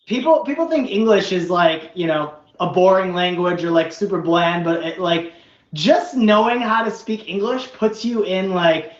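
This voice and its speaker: A podcast host asking questions in a relaxed, chatty way, full of fillers. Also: mid-range voice. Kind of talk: casual interview chat. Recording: roomy, dull lo-fi audio.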